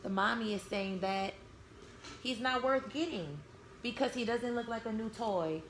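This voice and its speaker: A woman reading a storybook aloud to young children, expressive, pausing to explain and ask simple questions. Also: on the high side. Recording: echoey room with background noise.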